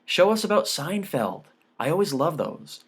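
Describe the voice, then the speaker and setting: A man's voice: on the high side, sing-song, moderate pace, breathy edge, voice pushed up, heavily acted. A man voicing a polite, earnest young daughter who is keen on her studies. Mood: eager. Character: polite, keen college-age daughter, earnest and bright-minded.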